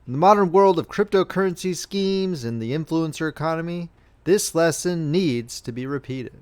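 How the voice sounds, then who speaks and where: A man narrating as an audiobook reader, telling a story in an expressive, measured, scripted style. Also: fairly high pitch, smooth.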